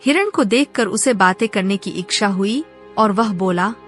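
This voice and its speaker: A woman's voice: mid-range voice, animated, moderate pace, a bit bright, soft background music. A woman narrator reading a scripted animal fable for children.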